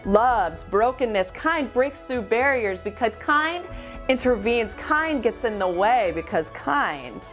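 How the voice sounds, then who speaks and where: A woman performing spoken-word slam poetry with deliberate, dramatic phrasing and pauses. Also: fairly high pitch, expressive, fairly bright tone. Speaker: amateur slam poet. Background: music.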